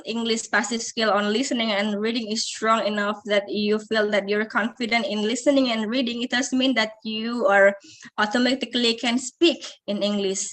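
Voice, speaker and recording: A woman's voice: on the high side, a bit bright. A young adult woman from Indonesia with an Indonesian accent, teaching an English lesson, hesitant, with many fillers and restarts.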